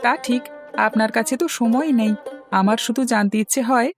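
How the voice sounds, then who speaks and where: A woman plays a curious, excuse-making schoolboy in a cartoon. The voice is fairly high and hurries along eagerly, with faint music behind. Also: steady tone, a little fast, lightly acted. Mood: curious, complaining. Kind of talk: Bengali cartoon dubbing.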